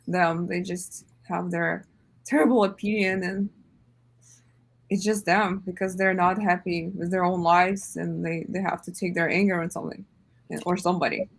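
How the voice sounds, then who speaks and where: Young woman, radio guest, candid and loose, a bit of vocal fry. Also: on the low side, fairly even intonation, moderate pace. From Eastern Ukraine. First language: Russian. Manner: candid, loose, many fillers. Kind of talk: casual interview. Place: radio studio.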